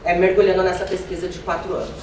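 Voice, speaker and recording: A man's voice: high-pitched, level tone, fast talker. A man giving explanatory, conversational answers as a theatre artist being interviewed. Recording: roomy, distant mic, faint noise.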